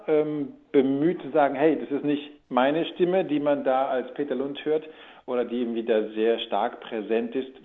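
A man with a mid-pitched, rough-edged voice answers interview questions casually. He often circles back to rephrase. The sound is thin, as if over a telephone. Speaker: audio drama producer and voice-over speaker. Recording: thin phone-like line.

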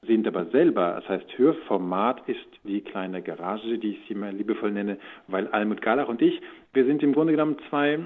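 A man's voice: lowish voice, moderate pace. A man giving casual interview answers in a searching way, often restarting and rephrasing. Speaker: audio drama producer and voice-over speaker. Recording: thin phone-like line.

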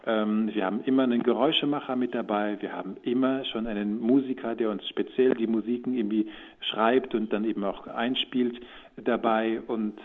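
A man with a mid-pitched, rough-edged voice answers interview questions casually. He often circles back to rephrase. The sound is thin, as if over a telephone. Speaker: audio drama producer and voice-over speaker. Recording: thin phone-like line.

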